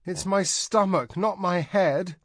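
Timid young princess, slow and whimpering, voiced by a man. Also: fairly high pitch, no room sound, studio quality, voice pushed up. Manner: whimpering, fearful.

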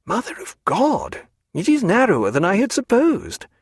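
Audiobook role: excitable scholarly birdwatcher at sea, pitched high, very animated, pompous, quick to outrage, performed by a male reader. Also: husky, dry recording, clean studio sound. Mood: eager surprise. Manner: exclamatory, formal old-fashioned speech, pompous. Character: excitable, learned ship's man, keen birdwatcher, easily outraged.

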